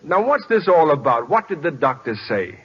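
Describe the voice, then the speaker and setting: A man with an American accent playing a modest, educated teacher turned pitcher in radio drama, polite and hesitant. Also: big pitch swings, moderate pace, a little hiss or hum. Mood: uneasy. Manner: polite, hesitant, well-spoken. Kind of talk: 1940s radio drama acting. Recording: dull old radio recording.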